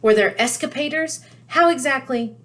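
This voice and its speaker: A woman voicing an eager, excitable middle-aged wizard father full of curiosity in a storybook reading. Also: sits high, normal speed, pitched above the performer's own voice. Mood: eager, amazed. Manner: excitable, inquisitive.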